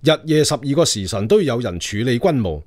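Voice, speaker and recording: An actor playing a commanding Qing emperor in a radio drama, speaking in an imperious, measured manner. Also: male voice, mid-range voice, sing-song, darkish tone, no room sound, clean studio sound. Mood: deliberate, imperious. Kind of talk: Cantonese radio drama acting.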